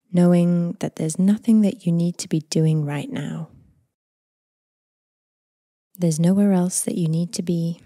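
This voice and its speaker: Female meditation guide, breathy near-whisper, calm unhurried delivery, very dry close recording. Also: lowish voice, no room sound. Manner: gentle, unhurried, calming delivery with long phrases trailing off. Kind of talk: guided body scan meditation, slow and soothing.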